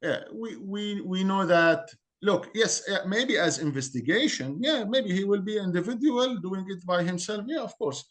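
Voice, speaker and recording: A man from Syria with an Arabic accent, a community guest speaking earnestly in halting English and repeating words as he searches for them. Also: high voice, animated. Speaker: guest, Assyrian community member. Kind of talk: interview on a call-in podcast.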